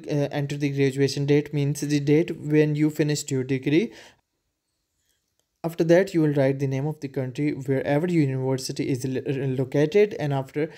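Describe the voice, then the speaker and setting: A man's voice: a little high, warm tone. A man whose first language is Urdu, walking through a screen-recorded tutorial with frequent hesitations and filler sounds. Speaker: tutorial presenter.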